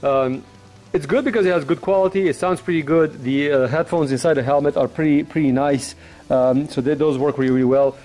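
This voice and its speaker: A man's voice: fairly high pitch, fairly quick, darkish tone, soft background music. A man giving a casual, unscripted product review in an informal, blunt, rambling way, like a vlogger.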